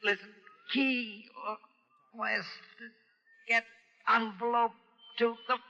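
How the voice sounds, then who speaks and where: A man's voice: very high voice, fairly even intonation, slow, sharp tone, big-room sound, full character voice. An actor in an old radio detective drama playing a desperate, pleading stabbed man, gasping and strained. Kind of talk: 1940s-50s radio detective acting. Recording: muffled old radio recording.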